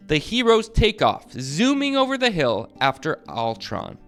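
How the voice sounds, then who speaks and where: A man reads a children's book aloud. His voice is a little high and swings widely with dramatic, expressive emphasis. Soft music plays underneath. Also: a little slow. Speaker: storybook narrator. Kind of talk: animated children's read-aloud narration.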